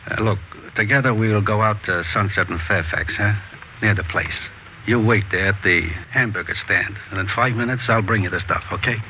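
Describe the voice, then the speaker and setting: Shifty, low-voiced street hustler played by a man. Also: normal speed, natural voice. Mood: hedging, persuasive. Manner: hustling, informal, hedging. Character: shifty, pushy male street peddler of cheap goods. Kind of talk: 1950s radio drama acting. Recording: dull, noisy vintage radio recording.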